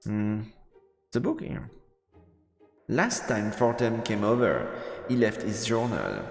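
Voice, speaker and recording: A man with a creaky voice and very lively pitch reads game text aloud for a live stream, stumbling and repeating words. The room echoes with a long reverb, and game music plays faintly behind him. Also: slow. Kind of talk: live let's-play commentary, reading game text aloud. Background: video game music.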